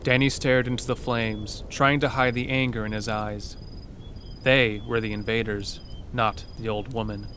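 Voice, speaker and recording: Man, narrator, lowish voice, scripted horror western storytelling, slight ambience behind. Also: level tone, normal speed, pushed and bright, a little hiss or hum.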